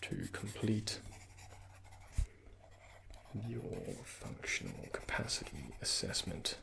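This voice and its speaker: Soft half-whispering man, deep crackly voice. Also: very animated, slow pace. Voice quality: breathy, often half-whispered. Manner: gentle instructions with soft reassurance. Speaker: ASMR creator playing a doctor. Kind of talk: soft-spoken ASMR medical roleplay.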